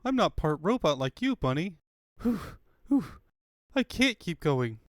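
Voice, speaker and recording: A man's voice: high-pitched, very animated, measured pace, no echo, studio quality. A man voicing a female animal fighter who is out of breath, in a comic fandub. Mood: exhausted, alarmed. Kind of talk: comic fandub character acting.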